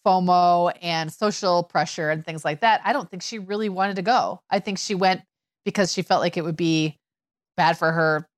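A woman in her forties in casual conversation, telling family stories in an animated way with lots of fillers. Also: on the low side, moderate pace, no room sound, studio quality. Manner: chatty, animated storytelling with fillers.

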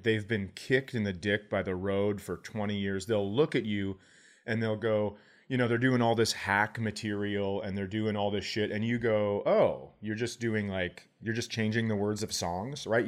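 Middle-aged American male, low register, podcast comic telling loose, sweary stories in casual conversation. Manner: profane, informal. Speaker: podcast host and comedian. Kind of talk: casual chat, storytelling.

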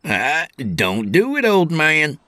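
A man's voice: fairly high pitch, huge pitch swings, slow pace, sharp tone, dry recording, clean studio sound, pitched above the performer's own voice, full character voice. A man voicing a cocky, easily riled trucker trainee, in an audiobook story. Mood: exasperated. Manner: indignant, brash comebacks.

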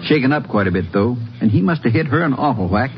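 An actor playing a folksy, reassuring small-town Texas sheriff in a 1950s radio western. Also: male voice, medium pitch, expressive, fast talker, close to an everyday voice. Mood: folksy, concerned. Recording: muffled old radio recording.

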